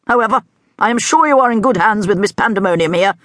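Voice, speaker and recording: A woman doing a gruff stern headmaster. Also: mid-range voice, fast talker, fairly bright tone, no room sound, clean studio sound, voice pushed up, close to an everyday voice. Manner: clipped and stern. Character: stern gruff male headteacher.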